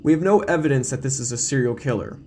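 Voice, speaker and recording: A man playing a measured, official police chief in an audio drama, formal and guarded. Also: mid-range voice, animated, dark tone, slight background noise, close to an everyday voice. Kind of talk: audio drama character acting.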